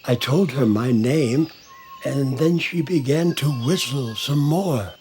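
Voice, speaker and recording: A man telling a bedtime story to help children fall asleep, speaking very slowly in a soft and soothing way. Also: mid-range voice, a little hiss or hum. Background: soft music.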